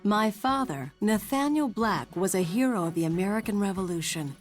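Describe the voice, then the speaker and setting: A woman's voice: mid-range voice, expressive, normal speed, close to an everyday voice. An actress playing a proud daughter, narrating her family's history solemnly for a game cutscene. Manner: solemn, storytelling. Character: proud daughter telling her warrior father's story. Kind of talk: scripted dramatic narration. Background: music.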